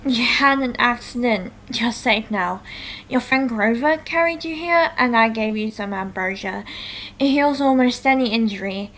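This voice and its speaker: Woman playing blunt girl with highish voice. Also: a little slow, fairly bright tone, slight background noise. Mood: wry, matter-of-fact. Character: blunt, matter-of-fact demigod girl caregiver. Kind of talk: theatrical table read.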